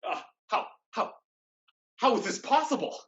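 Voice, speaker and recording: A woman's voice: a little high, animated, measured pace, a bit bright. A woman playing an arrogant, scheming male pirate lord in a staged play reading, smug and theatrical. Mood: panicked.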